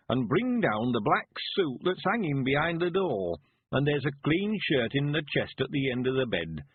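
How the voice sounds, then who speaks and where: A man doing a 91-year-old codger, high quavering voice, slow drawl, husky, tinny phone-like sound. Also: no echo. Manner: chuckling, rustic, stubborn. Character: frail cheerful 91-year-old man, courting.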